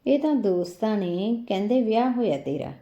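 Lady reading as a young husband, fond and pleading, very dark mellow tone, audio story. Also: mid-range voice, big pitch swings, normal speed, muffled recording, natural voice. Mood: defensive.

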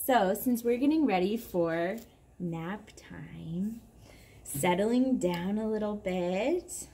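A young woman speaking to a small child in a gentle, drawn-out way, as in a story time for toddlers. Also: medium pitch. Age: young adult. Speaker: parent reading to a toddler. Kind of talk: singing and story reading for toddlers. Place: covered backyard patio, outdoors. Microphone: camera at about arm's length. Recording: clear background noise outdoors.

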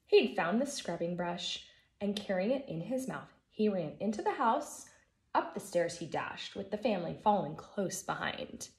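American woman, thirties, teacher, storybook read-aloud, lively intonation, echoey living room. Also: medium pitch, moderate pace. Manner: expressive, emphatic storytelling for young children. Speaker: first grade teacher. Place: living room at home, indoors.

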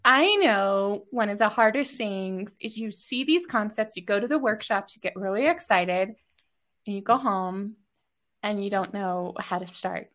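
A woman's voice: medium pitch, a little slow, fairly bright tone. A middle-aged woman hosting, presenting and interviewing in a conversational way from a home room. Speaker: host.

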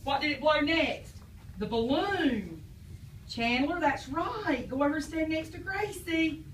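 A woman's voice: high voice, expressive, unhurried, a little hiss or hum. A woman teacher, quizzing and praising a class of young children after reading aloud. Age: adult. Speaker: preschool teacher. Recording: echoey classroom from far off.

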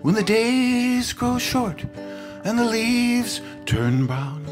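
An older man, a singer-songwriter, singing his own songs into a stand mic on a small cafe stage, with soft, halting spoken introductions between songs. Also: extremely high pitch, very animated. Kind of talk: singing original songs with acoustic guitar. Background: his own acoustic guitar.

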